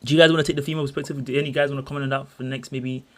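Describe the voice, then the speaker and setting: Male host, rapid and chatty, running an audio-room talk. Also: mid-range voice, flat delivery, a bit bright. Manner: chatty, quick, apologetic asides. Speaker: livestream host. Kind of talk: casual hosted group chat.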